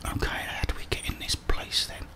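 A young man hosting an ASMR gaming video, muttering casual commentary to himself in a whisper as he plays. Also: fairly quick.

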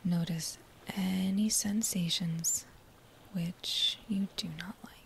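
A woman guiding a body scan meditation in a soft, breathy near-whisper, with a gentle, soothing manner and unhurried phrases. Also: fairly low pitch, steady tone. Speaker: meditation guide. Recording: faint background noise.

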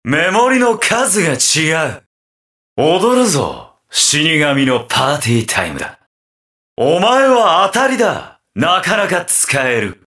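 An actor playing a ruthless, mocking undead armored mercenary villain in a video game, with a taunting, theatrical delivery. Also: male voice, a little high, huge pitch swings, slow pace, bright, forceful tone, heavily acted. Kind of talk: video game battle voice acting.

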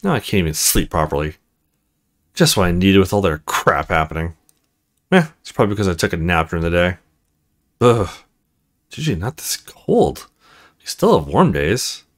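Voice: low voice, sing-song, normal speed, long pauses, pitched below the performer's own voice. A performer reading a visual novel as a weary, wry young man grumbling through his private thoughts. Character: young male roommate, wry, weary, inner monologue. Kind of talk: visual novel read aloud.

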